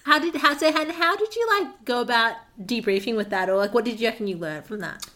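A young Australian woman hosts a casual podcast. Her voice sits a little high. She talks in an informal, conversational way, as with a friend. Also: lively intonation, quick pace, bright, forceful tone. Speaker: podcast host. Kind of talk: casual chat.

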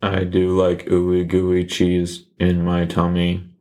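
A man voicing a deadpan, literal-minded killer who talks in a flat, robotic monotone. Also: low voice, slow. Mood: flat menace.

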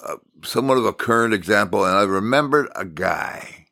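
An American man from Minnesota giving an informal sermon as a Bible teacher, telling stories in deliberate, halting phrases with emphatic repetition. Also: lowish voice, animated, creaky, pushed and bright. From Minnesota, United States.

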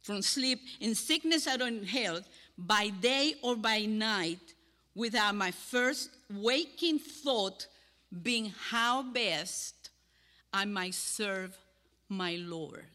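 An older woman from Cuba with a Cuban Spanish accent, giving an earnest sermon to a congregation and pausing between phrases. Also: mid-range voice, animated, bright, forceful tone. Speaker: guest speaker. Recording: hall with long reverb.

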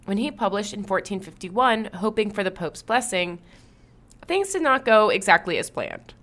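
A woman narrates a history podcast at a middle pitch. She reads from a script in a clear, even storytelling way. Now and then she turns playful with her co-host. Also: moderate pace.

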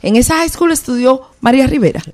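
A woman with a Puerto Rican accent hosting a podcast interview, lively and quick to react. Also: high voice. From Puerto Rico. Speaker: podcast host. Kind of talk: conversational interview.

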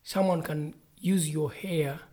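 Low-voiced woman from Uganda hosting. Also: steady tone, slow pace, warm tone. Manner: hesitant, searching for words. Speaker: host. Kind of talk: casual interview.

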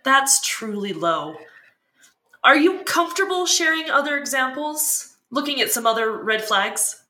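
A woman's voice: sits high, lively intonation, a little slow, pushed and bright, heavily acted. An actress playing an eager, curious, sympathetic woman who asks questions and reacts in a scripted fiction podcast. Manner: inquisitive, reactive. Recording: roomy echo.

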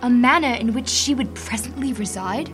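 A woman acts as a sixteen-year-old girl in a theatrical radio drama. She is restless and eager, speaks in florid old-fashioned phrases, and has a highish voice over soft music and rain. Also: normal speed, lightly acted. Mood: eager, curious.

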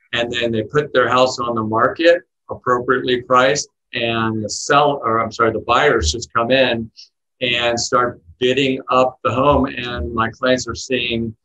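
A man's voice: mid-range voice, bright, forceful tone, muffled recording. A man hosting an interview podcast, introducing a guest and asking upbeat questions. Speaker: podcast host and lawyer.

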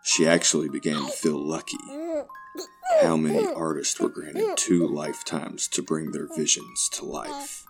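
A man's voice: rumbling low, big pitch swings, a little slow, over loud music. A man narrating a scripted horror story in an audio play.

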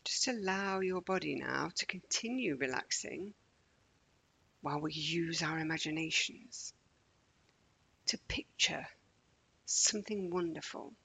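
Female meditation guide for children. Soft-edged breathy tone with vocal fry, measured and soothing delivery. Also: deep voice. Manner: gentle, measured, deliberate pauses.

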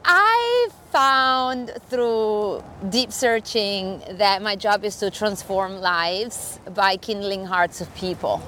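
An earnest woman with an Italian accent talks with plain enthusiasm, her pitch sitting in a middle register. She is outdoors in a city park, with faint traffic behind her. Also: a bit bright. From Italy. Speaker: podcast guest. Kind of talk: casual conversation while walking.

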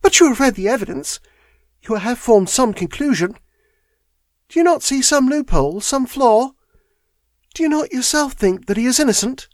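A woman's voice: fairly high pitch, expressive, normal speed, pauses often, no room sound, voice pushed up, natural voice. A man voicing an earnest young woman who pleads insistently and with fierce loyalty. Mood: urgent.

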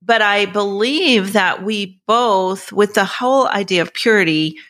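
A woman in her sixties telling personal stories conversationally as a podcast guest, halting and reflective with trailing pauses. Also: mid-range voice, a bit bright. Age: 60s.

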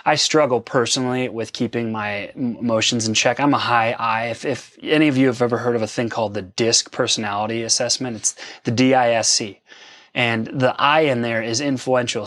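Man, mid-pitched voice, dry close sound, earnest casual talk, relationship advice co-host. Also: a bit bright, no echo. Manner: earnest, self-deprecating, emphatic when quoting. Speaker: podcast co-host. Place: lives in an RV park.

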